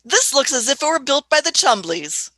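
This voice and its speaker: A woman's voice: high voice, very animated, normal speed, bright, forceful tone, dry recording, exaggerated voice. A woman playing an eccentric, curious elderly time traveller who mutters and hums while she thinks, in an amateur radio play. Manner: muttering hmms, musing, hesitant restarts.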